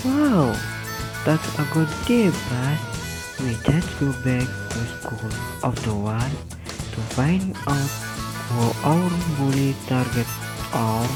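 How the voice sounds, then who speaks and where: A man's voice: medium pitch, sing-song, slow, loud music behind the voice. A young man with an Indonesian accent playing a scheming student bully leader in an amateur radio drama. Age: young adult. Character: scheming older-brother student, leader of school bullies.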